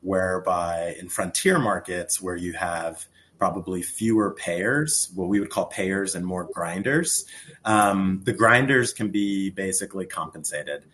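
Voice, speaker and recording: A man on a conference panel giving a measured, explanatory answer with frequent pauses. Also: low voice, a bit bright.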